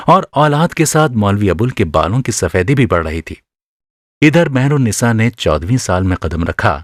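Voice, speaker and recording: A man narrating a literary short story for a radio drama, with expressive, measured delivery and careful phrasing. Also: on the low side, no room sound, studio quality.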